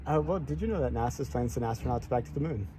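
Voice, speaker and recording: A man's voice: medium pitch, lively intonation, very fast, noisy background. A man interviewing a guest for his project, asking questions with frequent uhs and ums. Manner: hesitant, uses uh and um often. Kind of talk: casual interview.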